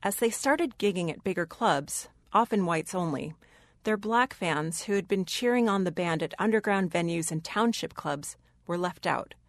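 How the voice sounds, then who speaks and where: Low-pitched woman narrating a radio feature. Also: expressive, normal speed, no echo, studio quality. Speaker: radio reporter.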